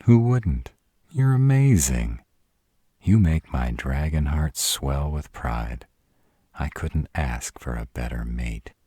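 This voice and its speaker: A man's voice: a bass voice, lively intonation, mellow, breathy edge, no echo, clean studio sound, heavily acted. A man playing a protective, devoted but brash were-dragon lover in a roleplay audio drama, speaking huskily and bluntly, with tenderness for a partner. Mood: affectionate, protective. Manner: slow, crude-tongued, tender with partner.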